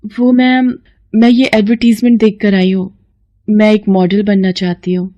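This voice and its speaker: A woman acts out a young dreamer in an animated story. She is earnest and eager, with a soft, mellow tone. Her manner is timid and pleading, tripping slightly over her words. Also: medium pitch, moderate pace, highs cut off, natural voice.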